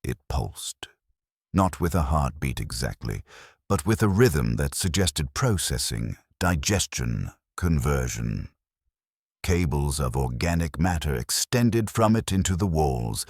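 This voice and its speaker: A man narrating a scripted first-person science fiction horror story in a measured, grave manner. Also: a bass voice, breathy edge, dry recording, studio quality.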